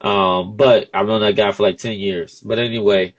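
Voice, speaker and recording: A man's voice: fairly low pitch, fairly even intonation, moderate pace, lo-fi sound. A man in his early thirties talking casually as a podcast host, informal and often checking in with "you know" phrases. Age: early 30s. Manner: informal, peppered with "you know what I'm saying". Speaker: podcast host being interviewed. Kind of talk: casual conversational interview talk.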